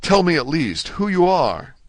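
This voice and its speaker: A man's voice: fairly high pitch, big pitch swings, unhurried, dry recording, voice pushed up. A man voicing a desperate, ardent young male prisoner in a storybook, pleading fervently. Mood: anxious. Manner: impassioned, fervent, exclamatory.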